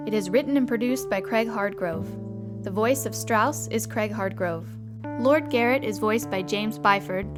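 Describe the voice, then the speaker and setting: A woman reading scripted end credits as an announcer. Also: on the high side. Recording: music behind the voice.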